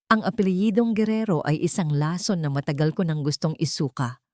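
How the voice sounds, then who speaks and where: A woman's voice: sits low, fairly quick, a little mellow, no room sound, close to an everyday voice. Woman reading a cold composed wife in audio drama, firm, done being pushed around, very dry. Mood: cold, dismissive. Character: cold, resolute wronged wife, newly powerful.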